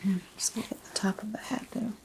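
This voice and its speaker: A soft-spoken woman doing an ASMR doctor roleplay, asking unhurried exam questions and slipping into whispers. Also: medium pitch, creaky, low-quality recording.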